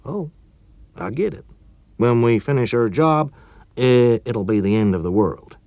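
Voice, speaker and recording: A gruff, bored male engineer, weary and a little sour, talking with lively rises and falls, as a man reads him aloud. The sound is close and dry. He grumbles more than he speaks. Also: medium pitch, a little slow, no room sound, pitched above the performer's own voice.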